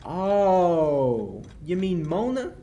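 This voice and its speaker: Young man playing a cocky, slow-talking 1940s crook. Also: sits high, big pitch swings, mellow, highs cut off, exaggerated voice. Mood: smug. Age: young adult. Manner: breezy, sardonic, slangy. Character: cocky, smooth-talking male crook, menacing under breezy slang. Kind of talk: 1940s radio play acting.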